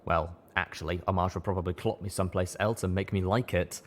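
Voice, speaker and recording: A man narrating a fan-written novel aloud as an audiobook, in a steady, earnest reading. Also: sits low, fast, a little hiss or hum. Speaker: audiobook reader.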